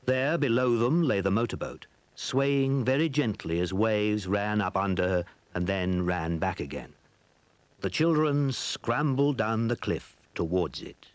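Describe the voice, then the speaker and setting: A man's voice: fairly low pitch, lively intonation, measured pace, dry recording. A man narrating a scripted children's adventure story as its narrator.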